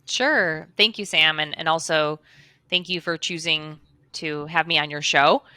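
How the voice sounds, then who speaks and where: Bright, crisp-voiced woman on a video call. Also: deep voice, unhurried, no echo, studio quality. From Chicago, USA. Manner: earnest, fluent, many fillers and "right?". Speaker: guest. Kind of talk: casual interview storytelling. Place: home office with blinds, indoors. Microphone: headset, video call.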